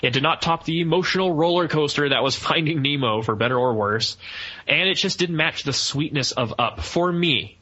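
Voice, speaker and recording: A man's voice: fairly high pitch, normal speed, bright, forceful tone, lo-fi sound. A man co-hosting a film review podcast, chatting casually with geeky enthusiasm. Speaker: podcast co-host reviewing films. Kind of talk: casual fan discussion.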